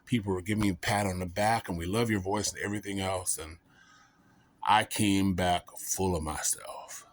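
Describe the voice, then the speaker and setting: A man's voice: deep voice, fairly even intonation, normal speed. A man vlogging in a casual, comedic storytelling style, rambling and folksy, often making fun of himself. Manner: rambling, self-mocking, folksy.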